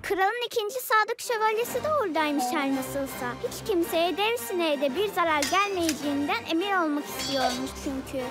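A small cartoon child with a very high voice. The delivery is gentle and earnest, like a young storyteller. Music plays clearly behind. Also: animated, normal speed, hardly any pauses. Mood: storytelling.

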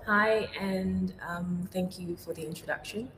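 A woman who manages development at a gallery, interviewing an artist with brisk, encouraging prompts. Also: fairly low pitch, fairly even intonation, a bit bright. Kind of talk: conversational interview. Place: gallery showroom, indoors. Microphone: video call. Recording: roomy echo with faint noise.

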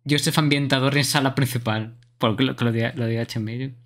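A man's voice: medium pitch, fairly even intonation, fast talker, fairly bright tone. A man streaming a game, chatting casually and reading things off as he plays. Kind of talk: casual live gaming chat.

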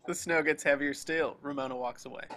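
A man reads screenplay action lines as the narrator of a table read. His voice is bright and forceful, pitched a bit above average for a man. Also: steady tone, fairly quick, a little hiss or hum. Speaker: narrator reading action descriptions. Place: online group video call.

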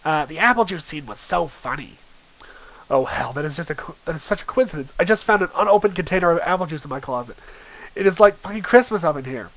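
A man's voice: on the high side, expressive, quick pace, fairly bright tone, poor audio. A man voicing flippant teenage boys bantering in an online chat from a webcomic, casual and muttered.

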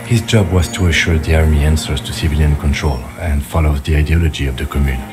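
Very deep, formal police lieutenant. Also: male voice, steady tone, fast talker. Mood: inquiring. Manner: composed, precise, formal questioning. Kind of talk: video game voice acting. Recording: loud music behind the voice. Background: music.

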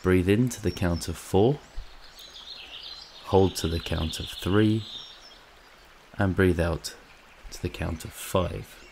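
A man narrating a scripted guided meditation in a calm, soothing, measured way, with long pauses. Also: sits low, vocal fry, slight background noise.

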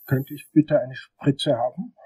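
A man's voice: mid-range voice, fairly even intonation, a little slow, dark tone, husky, no room sound. A man playing a polite, slightly uneasy investigator in a crime radio play. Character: polite, uneasy male investigator, a dental patient.

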